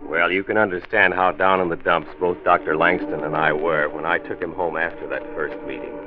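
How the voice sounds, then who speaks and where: A man playing an earnest county health commissioner who narrates a 1940s radio drama in a measured, folksy manner. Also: low-pitched, steady tone, natural voice. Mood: earnest narration. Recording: tinny old radio transcription.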